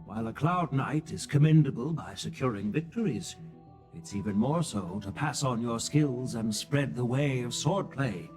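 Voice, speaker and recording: A man acts out a genial old general from a video game, courtly and measured. His pitch moves freely as he speaks with grandfatherly dignity, and game music plays behind him. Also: expressive, darkish tone, natural voice. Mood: firm, wise. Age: older.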